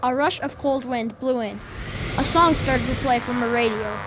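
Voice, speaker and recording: A woman's voice: fairly high pitch, moderate pace, noisy background, close to an everyday voice. A young girl narrating a radio drama from a script in dramatic short phrases. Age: child, about 10.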